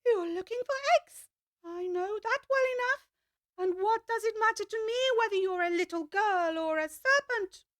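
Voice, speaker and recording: A frantic, indignant mother pigeon from an audiobook, fretting and accusing in an extremely high, shrill voice. The sound is close and dry, with no room around it. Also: normal speed, fairly bright tone, no echo. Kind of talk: full-cast audiobook acting.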